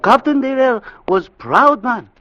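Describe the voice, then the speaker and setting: An actor playing a gentle, sentimental ship's cook who speaks hesitant broken English in an old radio drama. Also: male voice, very high voice, lively intonation, measured pace, heavily acted. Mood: wistful, musing. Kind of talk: 1940s-50s radio drama acting. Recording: muffled, noisy vintage radio recording.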